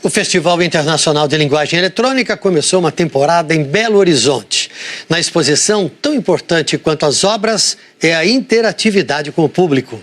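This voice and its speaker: A man's voice: fairly high pitch, expressive, a little fast, fairly bright tone. A man with a Brazilian accent presenting scripted news as an anchor.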